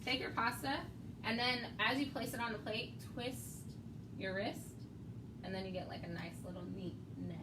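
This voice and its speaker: A woman's voice: fairly high pitch, fairly even intonation, moderate pace, very noisy. A woman hosting a casual cooking show, explaining each step and laughing often.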